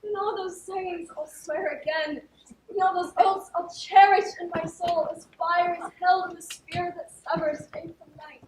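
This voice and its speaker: Woman playing a high-pitched earnest noblewoman, echoey. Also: fairly even intonation, unhurried, a little mellow. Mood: impassioned. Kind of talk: theatrical stage acting of classic verse comedy. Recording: echoey stage, distant mic, faint noise.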